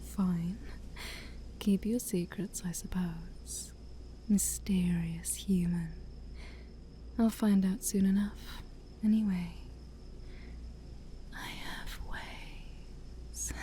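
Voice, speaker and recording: Woman playing a dark, breathy, ancient demon princess. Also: fairly low pitch, fairly even intonation. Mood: haughty, piqued. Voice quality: breathy, often near-whispered. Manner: slow, teasing, haughty, theatrical. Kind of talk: soft-spoken ASMR roleplay.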